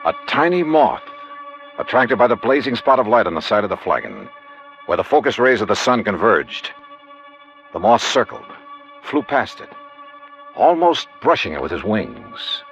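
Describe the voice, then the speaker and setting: Radio detective lead: tough, wry, clipped narrator with animated pitch, dull vintage recording. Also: male voice, moderate pace, vocal fry, long pauses, soft background music, full character voice. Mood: tense narration. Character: tough, wry male insurance investigator narrating his case. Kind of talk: old-time radio detective narration and acting.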